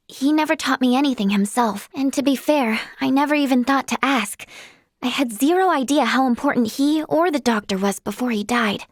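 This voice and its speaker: Young female game healer, high-pitched, girlish, earnest, plucky, polite, expressive, dry studio sound. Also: no room sound. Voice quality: girlish, youthful. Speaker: video game voice actress. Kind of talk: scripted game character dialogue.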